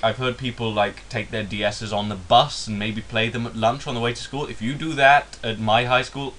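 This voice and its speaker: A man's voice: lowish voice, fairly bright tone, a little hiss or hum. Man rambling in a put-on Indian accent. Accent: put-on Indian English. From England. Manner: rambling, self-mocking, swears casually. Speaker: YouTuber. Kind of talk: casual vlog monologue.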